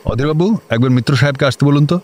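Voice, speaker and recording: An actor playing an officious, excitable district magistrate in a comedy radio play, with a clipped manner and English words mixed in. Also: male voice, a little high, fast talker, a little hiss or hum, natural voice. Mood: incredulous. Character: officious, excitable male district magistrate, bossy to juniors, servile to superiors. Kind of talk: Bengali comedy radio drama acting.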